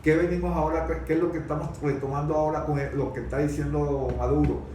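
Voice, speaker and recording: A Venezuelan man in an unscripted political discussion, speaking haltingly, often repeating words and searching for his phrases. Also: a little high, flat delivery, mellow. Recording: very echoey room, distant mic, faint noise.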